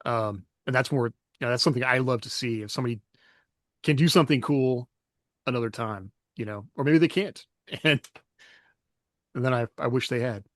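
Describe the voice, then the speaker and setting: Mid-pitched male co-host, thoughtful and halting, dry close sound. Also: no echo. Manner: reflective, hesitant, laughs often. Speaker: podcast co-host, author. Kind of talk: casual chat.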